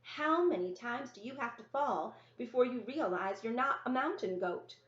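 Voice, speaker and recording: A woman's voice: on the high side, lively intonation, moderate pace, pitched above the performer's own voice, lightly acted. Woman reading as bossy, practical older-sister girl. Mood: exasperated. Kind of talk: children's novel read-aloud. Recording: roomy, echoey, dull lo-fi sound.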